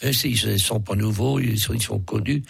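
A man's voice: fairly low pitch, normal speed, vocal fry, husky, no echo. A man with a cold, a radio interview guest who speaks hesitantly with many restarts. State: has a cold. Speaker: association president, food truck owner.

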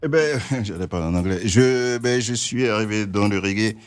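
A man's voice: fairly low pitch, normal speed, smooth. A man from French Guiana, a reggae musician guest, talking casually and a bit hesitantly in a radio interview. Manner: casual, slangy, slightly hesitant.

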